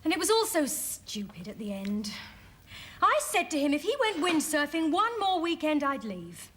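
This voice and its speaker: A blunt, scolding sister. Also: female voice, sits high, big pitch swings, moderate pace, a bit bright. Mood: rueful. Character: exasperated, bossy sister who has left her husband. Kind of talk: sitcom acting.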